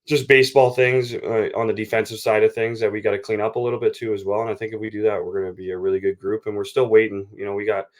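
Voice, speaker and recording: A man talking as a baseball coach in an interview, explaining things in a measured, conversational way. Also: on the low side, level tone.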